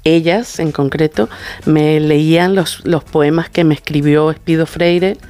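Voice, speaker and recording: Effusive middle-aged woman, low voice. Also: steady tone, unhurried, warm tone, dry recording. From Venezuela. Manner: effusive, acts out quoted dialogue in anecdotes. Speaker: guest, singer and vocal coach. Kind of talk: animated conversational storytelling.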